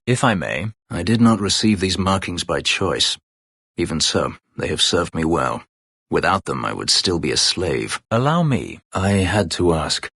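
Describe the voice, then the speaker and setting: Low husky brooding ex-slave warrior for a video game, guarded n terse, super dry sound. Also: male voice, fairly bright tone, dry recording, heavily acted. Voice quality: husky, gravelly. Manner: terse, formal, brooding delivery. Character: brooding, bitter male former slave warrior, proud and guarded. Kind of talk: video game character dialogue.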